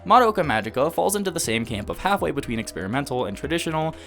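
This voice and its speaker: A man's voice: on the high side, sing-song, fast talker, fairly bright tone, soft background music. A man in his early twenties narrating a scripted video essay as an opinionated, enthusiastic fan. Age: early 20s.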